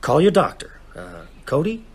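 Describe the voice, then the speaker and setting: An actor playing a skeptical, rattled man in a radio drama, arguing haltingly and with disbelief. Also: on the high side, huge pitch swings, slightly rough, heavily acted. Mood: tense, resolute.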